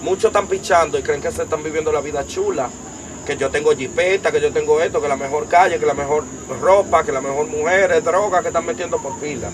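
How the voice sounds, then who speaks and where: A young man with a Dominican accent, giving an emphatic personal testimony as an interview guest, piling up details in long rapid lists. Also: fairly high pitch, background noise. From Dominican Republic. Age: young adult.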